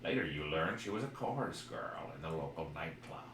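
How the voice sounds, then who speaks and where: A man's voice: rumbling low, sing-song, normal speed, gravelly, a bit bright. A man co-hosting a podcast, chatting casually and reading a mystery game aloud. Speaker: podcast co-host. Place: echoey room, live streamed podcast. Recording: roomy echo with background noise.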